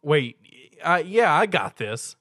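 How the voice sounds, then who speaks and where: Man acting awkward, geeky guy, animated and eager. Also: fairly high pitch, slow, no echo, clean studio sound, pitched above the performer's own voice, exaggerated voice. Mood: nervous, awed. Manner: stammering, hesitant. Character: geeky, awkward young male fan turned cartoon pony. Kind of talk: dramatic fan fiction reading.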